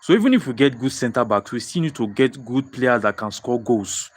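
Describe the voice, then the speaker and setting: Young Nigerian-accented man, animated fan-stream host who loops phrases, mid-pitched voice. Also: moderate pace, slightly rough. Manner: excitable, repeats phrases, frequent restarts. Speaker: football livestream host. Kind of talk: live-stream fan chat reading viewer comments.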